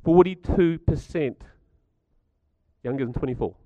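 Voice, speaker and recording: Croaky man giving a talk. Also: a little high, unhurried, mellow, no echo, muffled recording. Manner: chatty, stats-driven, asks the audience questions. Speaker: speaker at a community gathering. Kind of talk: talk to a live audience.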